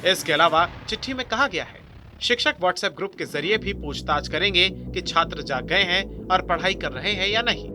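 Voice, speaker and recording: A man's voice: high-pitched, animated, normal speed. A man reading a scripted news report as the narrator. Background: music bed.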